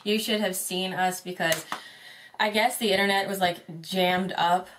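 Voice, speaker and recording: A young woman with a lower voice, upbeat and quick to giggle as she co-hosts a casual makeup chat. There is a faint hiss of noise behind her. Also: fairly even intonation. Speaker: beauty vlogger co-host.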